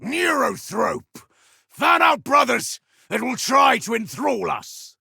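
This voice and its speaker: An actor playing a battle-hardened, zealous space marine with a gravelly, forceful voice in a video game. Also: male voice, sits high, sing-song, takes it slowly, no echo, studio quality, heavily acted. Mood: fierce battle fury. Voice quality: gravelly, rasping. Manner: forceful barked battle cries. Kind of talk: video game combat voice lines.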